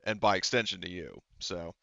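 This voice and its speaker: A man's voice: low-pitched, normal speed, vocal fry, sharp tone, no room sound, dull audio. A man acting as game master in a casual online tabletop roleplaying discussion, explaining things with frequent asides.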